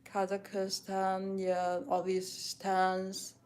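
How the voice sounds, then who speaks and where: A woman's voice: mid-range voice, completely flat delivery, extremely slow, a little mellow. A woman from China, a native Mandarin speaker with a Chinese accent, reading viewer questions aloud and answering them frankly in casual chat while doing dishes at home.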